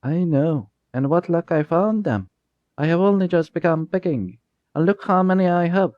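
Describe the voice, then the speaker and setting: A man's voice: a little high, normal speed, dry recording, pitched above the performer's own voice, close to an everyday voice. A man doing a sly, overly polite young thief. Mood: eager. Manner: overly formal, flattering then menacing. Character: cunning young male thief, falsely polite. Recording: dull lo-fi recording.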